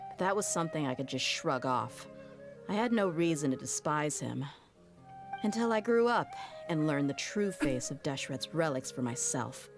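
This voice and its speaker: Brief: a candid, deep-voiced female sellsword who speaks plainly. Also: animated, normal speed, music in the background. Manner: frank, wry, matter-of-fact. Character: tough young female mercenary, blunt and candid. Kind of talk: video game cutscene dialogue.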